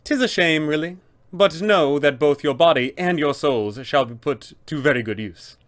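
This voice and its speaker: A man's voice: a little high, normal speed, fairly bright tone, close to an everyday voice. A man voicing an officious, polite, fussy undead tomb custodian with formal announcer phrasing, as a tabletop villain. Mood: breezy, reassuring.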